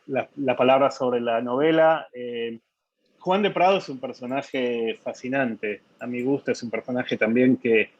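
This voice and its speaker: Hesitant man, husky and dry. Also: medium pitch, dry recording, muffled recording. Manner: thoughtful, many hesitations and repeated words. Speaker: novelist being interviewed. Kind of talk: conversational interview.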